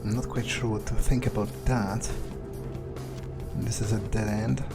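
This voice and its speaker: A man role-playing a wary, foul-mouthed vampire in a game, thinking aloud in a hesitant, muttering monologue with pauses and swearing. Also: on the low side, fairly even intonation, gravelly, over loud music. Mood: wary, uncertain. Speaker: gameplay video creator role-playing his character. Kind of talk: in-character improvised gameplay monologue, thinking aloud.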